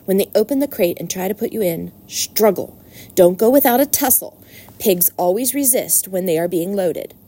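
A woman's voice: medium pitch, expressive, normal speed, mellow. A woman voicing a wily old barnyard sheep who gives urgent, commanding instructions. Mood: urgent, conspiratorial.

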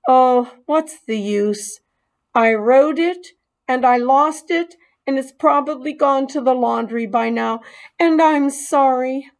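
A timid young mouse, as a woman reading the story puts him on speaks in a raised, lifted voice. He sounds earnest and sorry, his words coming slowly. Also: high voice, pitched above the performer's own voice. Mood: ashamed. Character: small anxious young male mouse.

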